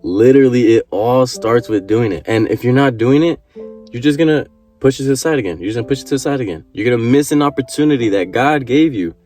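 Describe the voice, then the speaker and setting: A man's voice: mid-range voice, fast, darkish tone, faint music behind. A man in his early twenties giving an earnest motivational talk to camera, repeating his points and swearing casually. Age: 20s. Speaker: vlogger.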